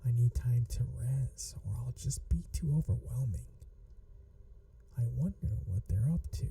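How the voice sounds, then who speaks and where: Woman playing a mellow, slow-talking flirty office manager. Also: very deep voice, far from the mic, exaggerated voice. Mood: weary, musing. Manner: soft, coaxing, playfully teasing, slipping into whispers. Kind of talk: ASMR roleplay, acted monologue to listener.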